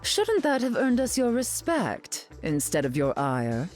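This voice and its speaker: Lively, haughty glamorous heroine. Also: female voice, on the high side, measured pace, faint music behind. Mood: haughty, withering. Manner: haughty, withering. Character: proud, glamorous, sharp-tongued heroine. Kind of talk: video game character lines.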